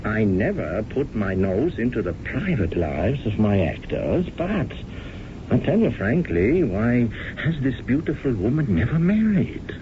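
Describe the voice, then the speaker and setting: An actor playing a fussing, theatrical stage director in a 1970s radio drama, doting and given to chuckles. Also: male voice, mid-range voice, sing-song, a little slow. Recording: muffled old radio broadcast with hiss.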